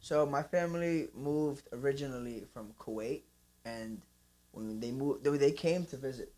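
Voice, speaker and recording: A man's voice: medium pitch, normal speed, warm tone. Easygoing young man saying "bro". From Northeast Philadelphia. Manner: easygoing, says bro and man, laughs often. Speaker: guest, Muay Thai fighter. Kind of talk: casual interview chat. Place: podcast studio. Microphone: studio mic.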